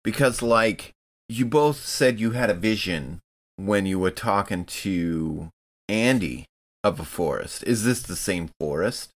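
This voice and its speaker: A man's voice: on the low side, expressive, a little slow. A man talking casually and slangily as a player in a tabletop role-playing game.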